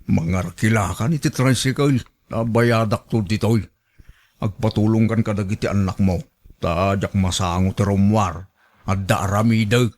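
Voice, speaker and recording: A man's voice: lowish voice, normal speed, darkish tone, close to an everyday voice. A man with an Ilocano accent playing a bossy, demanding elderly man in a radio drama, blunt and nagging. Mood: grumbling.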